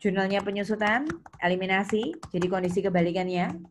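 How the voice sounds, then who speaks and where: A woman's voice: mid-range voice, brisk. A woman giving an interactive lecture as a teacher, instructing step by step and checking understanding with questions. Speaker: accounting teacher. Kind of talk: interactive lecture explaining worksheet entries. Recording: clear background noise, keyboard typing and clicks.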